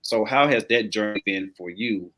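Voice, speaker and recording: A man's voice: lowish voice, moderate pace, a bit bright. A man hosting a talk show and working as a life coach, chatty and affirming as he interviews a guest. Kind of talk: casual interview.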